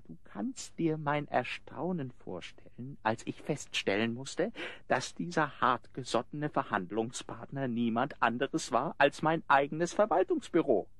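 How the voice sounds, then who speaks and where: Boastful suitor, smooth-talking, grandiose storyteller, swinging intonation, close dry sound, radio play. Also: male voice, medium pitch, a bit bright, dry recording, heavily acted.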